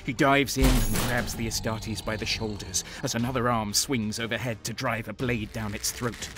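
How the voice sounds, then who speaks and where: An actor playing a grim, battle-worn traitor soldier, narrating in terse, intense first person for an audio drama. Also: male voice, medium pitch, fairly even intonation, normal speed, natural voice. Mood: urgent.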